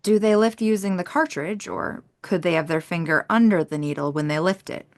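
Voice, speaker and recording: Woman acting as a serious lady investigator in a mystery play, lowish voice, clinical and measured. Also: natural voice. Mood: clinical, intent. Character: methodical, serious female investigator with forensic skills. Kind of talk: audio theater mystery acting.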